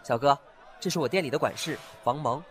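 A man's voice: mid-range voice, brisk, a bit bright, some chatter behind, slight background noise, close to an everyday voice. A man playing a talkative, curious young antique-shop owner who often muses aloud to himself. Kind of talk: fan audio drama acting.

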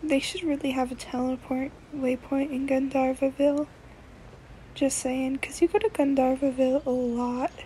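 A woman's voice: high voice, flat delivery, moderate pace, mellow, rough recording. A woman giving casual commentary as host of a video game playthrough. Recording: faint background noise, re-recorded gameplay audio.

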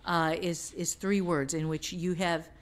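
A woman with a slightly low voice talks as a writing teacher on a podcast. She explains in a casual way, going step by step and leaning on examples. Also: little pitch movement, unhurried.

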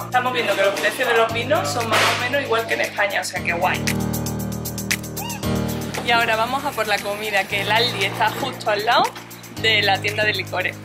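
A woman's voice: on the high side, brisk. A woman in her thirties with a Spain Spanish accent, cheerfully explaining places and food as a travel vlogger filming herself. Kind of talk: casual travel narration to camera. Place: outdoors in old town streets and shops. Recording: background music and crowd chatter.

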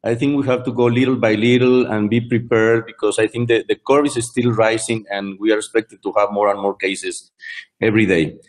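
A man's voice: fairly low pitch, fairly even intonation, a little fast. A middle-aged man with a Mexican Spanish accent, a cardiologist answering carefully on a video call. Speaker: interventional cardiologist. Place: office indoors.

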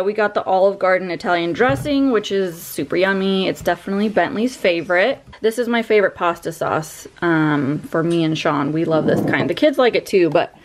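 A woman's voice: medium pitch, a little hiss or hum. A young woman chatting casually in her home kitchen while showing off her weekly groceries, upbeat and rambling. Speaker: vlogger. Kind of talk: casual grocery haul chat.

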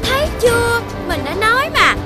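Very high-pitched childlike cartoon critter. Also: female voice, big pitch swings, normal speed, over loud music. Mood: worried, chiding. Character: excitable young cartoon animal, earnest and childlike.

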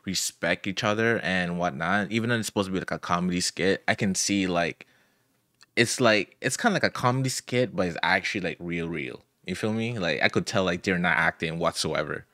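A man's voice: low voice, fairly quick, pushed and bright, no echo, studio quality. A young man streaming from a home room at a desk mic, reacting in loose, incredulous slang. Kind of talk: casual reaction commentary. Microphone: studio mic at desk, wearing headphones.

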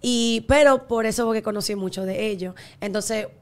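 A woman's voice: medium pitch, normal speed. A young woman with a Dominican accent, chatting as a guest on a studio podcast and slipping English words into her Spanish. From United States, Miami area. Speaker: guest, singer. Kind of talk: casual podcast interview. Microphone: studio microphone on stand.

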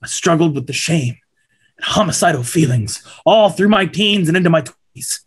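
A man's voice: on the high side, lively intonation, normal speed, breathy edge. A man in his thirties playing a slick, upbeat corporate representative lecturing schoolboys at a script table read. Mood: earnest, persuasive. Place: indoors at home on a video call.

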